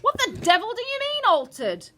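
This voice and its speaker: A woman voicing a pompous, irritable middle-aged father in a storybook, loud and blustering. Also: high voice, sing-song, normal speed, a little hiss or hum, voice pushed up, heavily acted. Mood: outraged. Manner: shouting, blustering. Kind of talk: storybook character voice.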